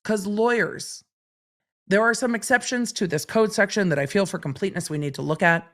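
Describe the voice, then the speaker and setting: A middle-aged woman talks through a legal case on her own podcast, her voice a touch raspy from long days of streaming. She reads out a statute clause by clause, then poses questions to herself and answers them plainly. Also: medium pitch, a little fast, fairly bright tone. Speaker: legal commentator and podcast host. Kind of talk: solo legal podcast commentary.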